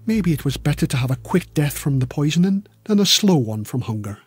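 A grim, starving Irish countryman, voiced by a male reader, recounts hardship item by item. His pitch moves about a lot in this audiobook quotation. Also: sing-song, a little fast, a little mellow, slightly rough, faint music behind, heavily acted. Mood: grim recollection. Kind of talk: acted eyewitness quote in audiobook.

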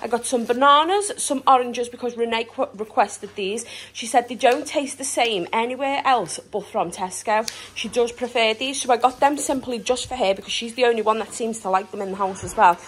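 A chatty British woman in her thirties rambles through her grocery haul, often laughing as she goes. Her voice sits a little high. It is filmed on a handheld phone in a home kitchen, with faint hiss behind her. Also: a little fast, hardly any pauses. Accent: British English. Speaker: vlogger. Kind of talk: casual grocery haul vlog. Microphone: handheld phone camera.

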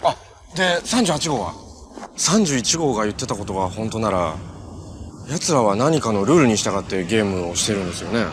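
A man's voice: lowish voice, sing-song, brisk. A man acting as a kind, easygoing young hero in natural television drama dialogue. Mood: serious, thoughtful. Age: young adult. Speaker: actor in a television superhero drama. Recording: noisy TV clip audio with ambience.

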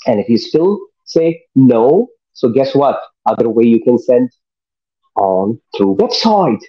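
A middle-aged man hosts an online talk show from his living room, cheerful and casual in his phrasing. He sits at a mid pitch, and his webcam audio comes through dull and a little muffled. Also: sing-song, slow, slightly rough. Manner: enthusiastic, loose informal phrasing. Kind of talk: video call interview hosting. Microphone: video call webcam.

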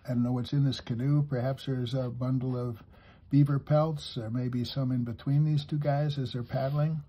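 A man giving an unhurried, step-by-step drawing lesson for kids, talking as he draws and pausing mid-sentence, with a husky voice. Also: mid-range voice, flat delivery, mellow. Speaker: drawing teacher.

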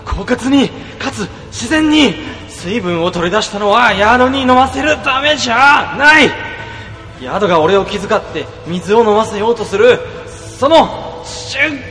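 A man's voice: extremely high pitch, animated, measured pace, sharp tone, in a large hall, faint music behind, heavily acted. A man voicing a cunning male office worker in a comedy radio drama, narrating his schemes in intense inner monologue. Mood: scheming.

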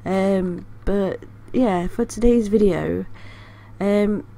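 A woman's voice: medium pitch, very slow, creaky, dark tone. A young woman hosting her art channel, talking casually with a congested voice from a cold, with many ums and restarts. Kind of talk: casual vlog chat.

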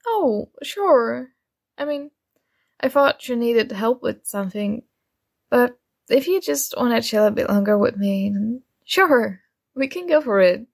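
A woman's voice: fairly high pitch, lively intonation, unhurried, gravelly, full character voice. A woman playing a shy, nerdy young student flustered by her crush in an ASMR roleplay, speaking hesitantly and awkwardly.

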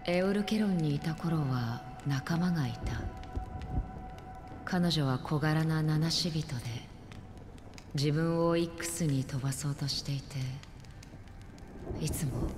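An actress playing a calm, melancholy, detached wandering woman in a Japanese video game, solemn and softly breathy at phrase ends. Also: deep voice, takes it slowly, pauses often, over music.

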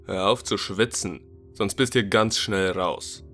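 Man playing a menacing low-voiced living floor. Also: slow, a bit bright, faint music behind. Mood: threatening. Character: gruff living floor of a haunted house, threatening.

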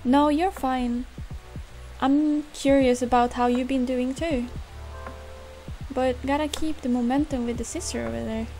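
A woman acts out a friendly, nosy young woman in a visual novel game. The voice is high and perky, full of curious chatter. Faint music runs underneath. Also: fairly even intonation, a little slow, long pauses, warm tone. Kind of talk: fan-dubbed visual novel acting.

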